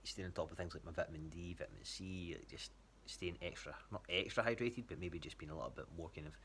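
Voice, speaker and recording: Low-pitched Scottish man, casual update. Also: quick pace, a bit bright, slight background noise. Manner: hesitant, rambling. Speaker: athlete giving a training check-in to a coach.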